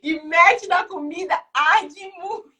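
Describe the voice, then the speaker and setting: A woman's voice: very high-pitched, slow pace, pushed and bright. A woman from Salvador, hosting a casual live chat in an exuberant, chatty, proud way. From Salvador, Bahia, Brazil. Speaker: live stream host. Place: indoors in Chicago.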